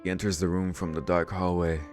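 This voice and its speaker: A man's voice: low voice, little pitch movement, fairly quick. A man hosting a let's read, reading visual novel narration aloud and dropping in casual asides. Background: game music.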